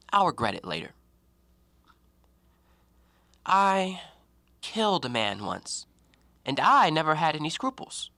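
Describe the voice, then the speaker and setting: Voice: mid-range voice, pushed and bright, no echo. A performer in an audio play acting an educated, self-justifying man with formal, articulate stage diction. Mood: musing, troubled.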